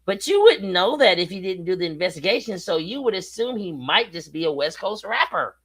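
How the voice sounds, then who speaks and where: A man's voice: high-pitched, big pitch swings, fairly quick, fairly bright tone, husky. Rapper guy on a podcast, preachy and emphatic, keeps driving it home. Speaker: guest rapper. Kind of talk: casual interview chat.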